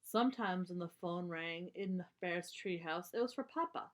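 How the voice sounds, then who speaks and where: A woman's voice: on the low side, normal speed. A woman reading a children's story aloud, explaining things in simple terms for young listeners.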